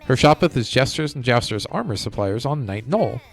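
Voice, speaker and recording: A man's voice: mid-range voice, animated, moderate pace, creaky, breathy edge. A man voicing a pompous, chivalrous video game knight in grand mock-archaic speech. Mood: grand, rallying. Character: pompous chivalrous male knight quest-giver. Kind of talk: video game dialogue read aloud. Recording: music playing behind the voice.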